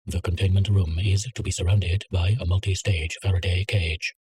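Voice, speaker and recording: A man reading a containment document aloud in a measured, clinical way. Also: deep voice, dark tone, husky. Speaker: narrator. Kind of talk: scripted reading of a containment file.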